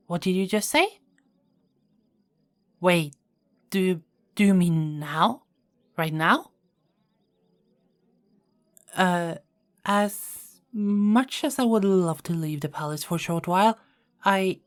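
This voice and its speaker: A woman playing a caring, dutiful young queen in an ASMR roleplay, speaking formally and softly with small hesitant stammers. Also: low voice. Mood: wistful, surprised. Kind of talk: ASMR roleplay, scripted character acting.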